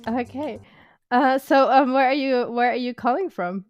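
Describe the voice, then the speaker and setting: A woman hosting a podcast, chatting in a relaxed way with plenty of fillers. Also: high voice, normal speed, muffled recording, faint music behind. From Germany. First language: German. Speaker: podcast host. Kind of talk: casual podcast interview. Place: home in Texas, on a call.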